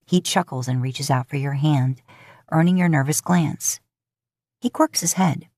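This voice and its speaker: A woman with a low voice narrates romantic fan fiction in the second person. The recording is dry and close, and the delivery is that of a scripted audio story. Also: a little slow, darkish tone, dry recording. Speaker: podfic narrator.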